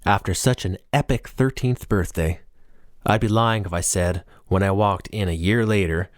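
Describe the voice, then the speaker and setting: Male narrator, low voice, scripted first-person storytelling, audiobook, dry close sound. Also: moderate pace, no room sound.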